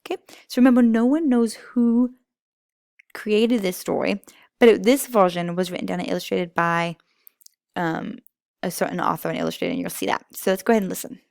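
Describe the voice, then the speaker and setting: A woman teaches young children informally, explaining with clear emphasis. Her mid-pitched voice has plenty of fry, captured dry and close. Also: animated, a little fast, darkish tone, no echo.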